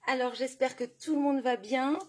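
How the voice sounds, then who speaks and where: Chatty woman with a cold, slightly high. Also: fairly even intonation, moderate pace. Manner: chatty, warm greetings, many fillers and restarts. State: has a cold, sore throat. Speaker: craft demonstrator hosting a live stream. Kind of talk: casual live-stream chat, greeting viewers by name.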